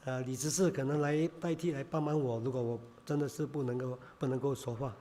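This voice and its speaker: A man preaching a sermon in Chinese, hoarse from a cold, halting with many filler sounds. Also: medium pitch, fairly even intonation, mellow, a little hiss or hum.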